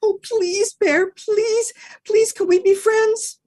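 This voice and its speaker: A woman's voice: extremely high pitch, steady tone, takes it slowly, darkish tone, pitched above the performer's own voice. A woman voicing an anxious, eager young male dog in a spoken folk tale. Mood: pleading.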